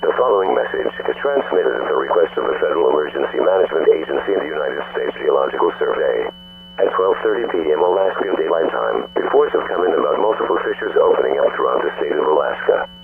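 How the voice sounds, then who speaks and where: A man's synthetic-sounding, gravelly, husky voice reading a formal, impersonal scripted emergency broadcast announcement. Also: a bass voice, no room sound. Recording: muffled lo-fi radio-like phone-grade audio.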